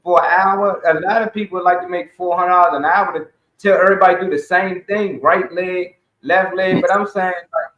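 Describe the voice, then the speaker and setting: Echoey, high-voiced man talking emphatically. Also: steady tone, normal speed, a little hiss or hum. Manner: emphatic, exhorting, colloquial, swearing. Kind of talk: casual opinionated community talk. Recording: dull, echoey room sound.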